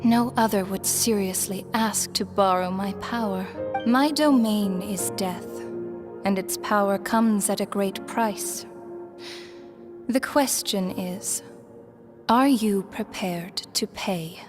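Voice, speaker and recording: A woman's voice: mid-range voice, slow pace, music in the background, close to an everyday voice. A woman voicing a solemn, imperious ruler of death in an anime-style video game, grave and formal. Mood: solemn, stern.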